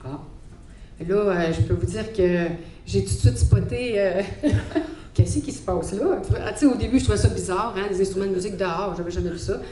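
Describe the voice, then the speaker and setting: A woman's voice: medium pitch, fairly quick, dark tone. A woman from Montreal with a Quebec French accent, giving a personal faith testimony in a hesitant, reflective way with many fillers. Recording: echoey hall, faint background noise.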